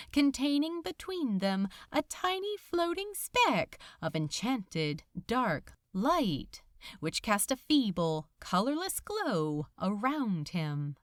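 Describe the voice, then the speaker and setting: A woman reading scripted fiction as a narrator, in a measured, dramatic storytelling manner. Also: fairly high pitch, no room sound, clean studio sound. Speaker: audiobook narrator.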